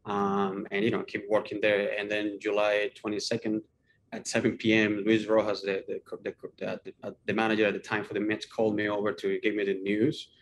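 A man giving fluent, explanatory answers in an interview, with a faint rumbling noise on the recording. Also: completely flat delivery, normal speed, low-quality recording. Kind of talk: first-person interview answers.

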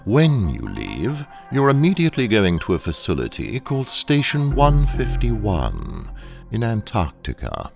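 An actor in an audio drama playing a ruthless training engineer who speaks in a deliberate, condescending, hypnotically coaxing way to a captive. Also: male voice, fairly low pitch, wildly swooping pitch, creaky, music in the background, exaggerated voice. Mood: controlled, commanding. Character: ruthless, sinister male training engineer, coolly brainwashing a captive.

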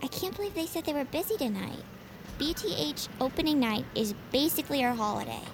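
A woman's voice: high-pitched, very animated, fairly quick, background noise. A young actress playing an eager, earnest teenage girl in naturalistic audio drama dialogue. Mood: excited.

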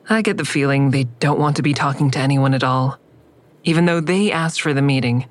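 Low-voiced, introspective female narrator played by an actress. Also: close to an everyday voice. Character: observant, wry female counselor narrating her own story. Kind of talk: first-person scripted narration.

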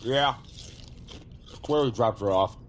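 A man's voice: medium pitch, takes it slowly, slight background noise, close to an everyday voice. An actor playing a dry, confident, nitpicking man on a stakeout in a naturalistic audio drama. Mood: dry, exasperated. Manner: casual, dry.

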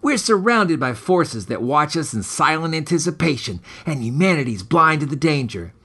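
A man's voice: medium pitch, bright, forceful tone. A man reading scripted material as author and host, with a melodramatic, ornate storytelling manner. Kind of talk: scripted dramatic story narration.

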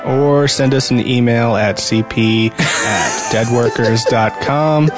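A man's voice: medium pitch, slow, music in the background. A man co-hosting a podcast in casual banter, with a jokey, sarcastic manner. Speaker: podcast co-host.

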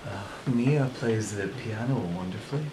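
A man's voice: lowish voice, a little slow, natural voice. Audio play male actor: admiring, sociable everyman, roomy sound with faint noise. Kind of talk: audio drama acting. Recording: echoey room with faint noise.

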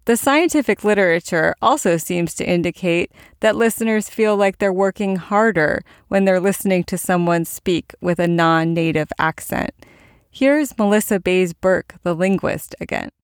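A woman hosting a science podcast, reading scripted narration in a measured, explanatory way. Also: on the low side, smooth, dry recording, clean studio sound. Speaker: podcast host.

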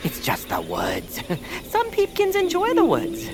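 Noah, a jittery, wildly expressive little creature. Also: female voice, very high voice, measured pace, exaggerated voice. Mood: nervous, timid. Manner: stammering, nervous, self-reassuring. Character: timid young bird-like town creature Noah, nervous, polite. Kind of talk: family audio fiction character acting.

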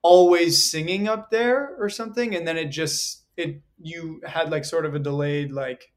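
Chatty young man, slightly high voice. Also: steady tone, moderate pace. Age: 20s. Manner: chatty, laughs often, many fillers. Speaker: guest performer. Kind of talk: casual interview chat.

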